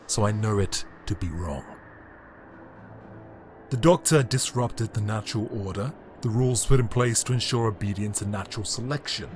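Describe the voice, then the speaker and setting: Audio drama tyrant emperor, male actor: lowish pitch, imperious, cold, condescending, theatrical pauses, clear music underneath. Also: natural voice. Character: grandiose, cold tyrant Emperor, formerly a heroic Time Lord.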